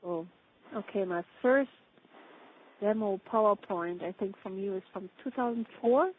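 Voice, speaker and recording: A woman's voice: medium pitch, a little slow. A woman in a casual work conversation over a remote call, speaking in hesitant, piecemeal phrases. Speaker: colleague following a demo. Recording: dull call audio with faint noise.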